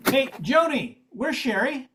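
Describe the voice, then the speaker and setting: A man's voice: very high voice, big pitch swings, unhurried, pushed and bright, a little hiss or hum, full character voice. An older man playing a brash, sardonic comedy writer in an amateur radio comedy. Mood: mocking, brisk. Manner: sardonic, quippy.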